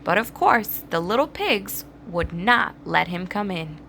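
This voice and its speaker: A woman reading a children's story aloud in an expressive, dramatized storytelling manner. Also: medium pitch, measured pace, gravelly, a little hiss or hum. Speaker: storybook reader. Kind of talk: children's read-aloud narration.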